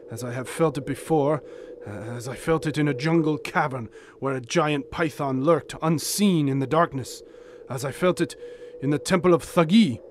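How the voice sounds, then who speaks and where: A man's voice: medium pitch, a little hiss or hum, lightly acted. A man playing a fierce, superstitious warrior and loyal companion in a pulp audio drama, with ornate, intense speech.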